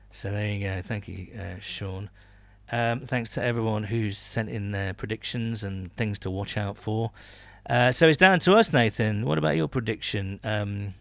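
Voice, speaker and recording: A man's voice: medium pitch, creaky, fairly bright tone, dry recording. Casting: British man, sports podcast host, middle-register voice, informal and digressive, very dry recording. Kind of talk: casual sports podcast chat, reading out listener messages.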